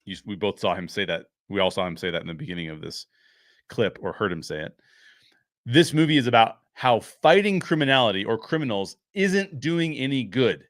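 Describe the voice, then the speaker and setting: A man's voice: fairly low pitch, lively intonation, brisk, no echo, clean studio sound. A man giving unscripted film commentary as a show host, explaining his points emphatically.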